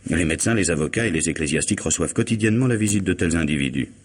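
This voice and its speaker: Deep-voiced, ironic lawyer. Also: male voice, expressive, very fast, slightly rough. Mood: measured, serious. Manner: wry, urbane, unruffled, teasing. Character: suave, ironic middle-aged male lawyer, coolly self-possessed. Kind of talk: French radio mystery drama acting.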